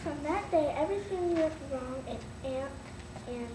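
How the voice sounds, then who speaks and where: A young child with a high voice narrates a scripted story in a halting read. The sound is echoey and distant, with clear background noise. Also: lively intonation. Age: child, about eight or nine. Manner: halting reading. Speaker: narrator in a school readers' theater. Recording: echoey, noisy room from afar.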